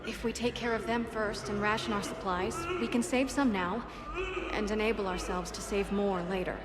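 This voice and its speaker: A woman's voice: medium pitch, steady tone, moderate pace, close to an everyday voice. A woman playing a pragmatic, insistent wartime medical worker in a video game, arguing earnestly. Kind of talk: dramatic video game acting.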